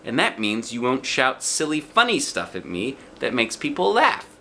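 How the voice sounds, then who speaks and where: A bashful young schoolboy, played by a man, with a raised, bright voice. He sounds earnest and self-conscious, a storybook kid who embarrasses easily. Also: medium pitch, wildly swooping pitch, normal speed, slight background noise, pitched above the performer's own voice, exaggerated voice. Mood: shy, earnest. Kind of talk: children's storybook read-aloud.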